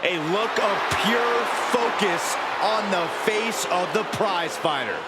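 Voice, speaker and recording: An earnest male play-by-play commentator in a wrestling video game. He calls the action in a high voice over a huge crowd and heavy noise. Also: slow pace. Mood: earnest, intense. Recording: loud arena crowd and noise.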